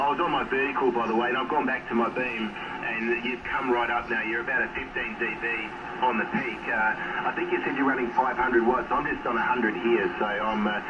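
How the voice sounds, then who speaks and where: Through a heavily noisy, muffled shortwave radio signal comes a distant Australian man. He speaks at a middling pitch, chatting casually like a relaxed amateur radio operator. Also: a little fast. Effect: shortwave radio transmission. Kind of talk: casual ham radio chat.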